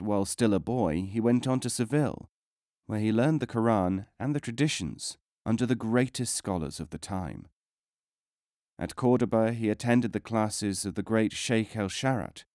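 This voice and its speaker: A man reading non-fiction as a scripted audiobook narration, in a measured, formal way with careful enunciation. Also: fairly low pitch, a little mellow, no echo, clean studio sound.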